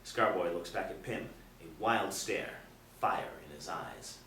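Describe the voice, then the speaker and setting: A man narrating stage directions at a screenplay table read in measured, dramatic storytelling phrases. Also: low voice, sharp tone. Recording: echoey room, distant, faint noise.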